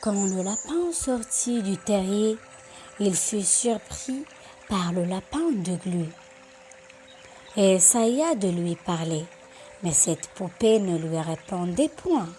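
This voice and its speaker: Slow-paced woman telling children's bedtime stories. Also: medium pitch, dark tone, slight background noise. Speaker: children's storyteller. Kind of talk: scripted bedtime story narration.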